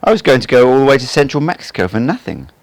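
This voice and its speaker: A man's voice: medium pitch, sing-song, quick pace, pitched above the performer's own voice. A man voicing an earnest, puzzled apprentice writer in an audiobook, with a questioning way of talking. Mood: relieved.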